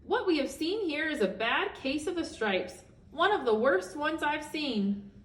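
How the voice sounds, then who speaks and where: A woman voicing a plump, kindly old woman from a storybook in a bright, cheerful manner. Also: high-pitched, lively intonation, unhurried, voice pushed up. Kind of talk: storybook character voice. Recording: echoey room, distant microphone.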